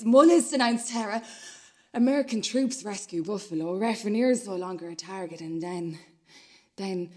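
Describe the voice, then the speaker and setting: A woman playing a rambling, transfixed young woman in a radio play monologue, confessing in a halting, repetitive, conversational way. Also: mid-range voice, darkish tone, natural voice.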